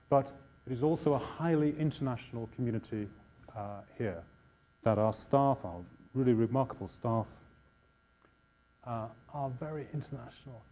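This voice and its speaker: A Scottish man speaks as a public lecturer in an informal style. His voice is mellow and sits at a medium pitch. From Scotland.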